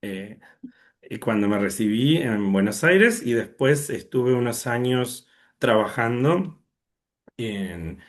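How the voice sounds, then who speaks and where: A man's voice: medium pitch, lively intonation, slow pace. A young man from Argentina with an Argentine accent, a conductor telling his story in an interview over a video call, thoughtful and full of fillers. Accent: Argentine Spanish. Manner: thoughtful, many fillers and restarts. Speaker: orchestra conductor, guest.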